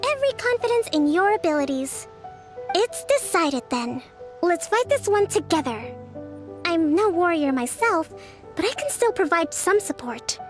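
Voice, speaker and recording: High-voiced, composed young female strategist. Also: sing-song, faint music behind. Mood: confident, encouraging. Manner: measured, articulate, formal yet friendly. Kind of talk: video game character dialogue.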